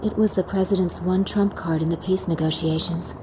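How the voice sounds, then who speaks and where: A woman's voice: lowish voice, steady tone, brisk, noisy background, natural voice. Serious young woman pilot voiced by an actress, faint ambience. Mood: grave. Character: serious, reserved young female fighter pilot.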